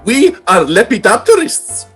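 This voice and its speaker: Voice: medium pitch, sing-song, a little slow, a bit bright, breathy edge, faint music behind, heavily acted. A performer playing a proper, politely enthusiastic scientist with a formal manner in an old-time radio comedy. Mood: polite, approving.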